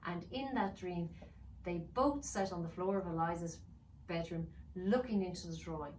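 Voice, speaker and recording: Lower-voiced woman storyteller in echoey room. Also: moderate pace, darkish tone. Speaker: children's author. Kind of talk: storytelling and reading aloud to children. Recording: roomy, distant mic, faint noise.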